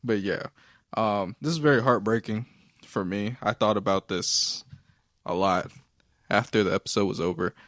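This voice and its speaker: A man co-hosting a casual talk show, soft-spoken and hesitant, often trailing off. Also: fairly low pitch, normal speed, no room sound, studio quality. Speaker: podcast co-host. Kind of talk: casual chat about anime.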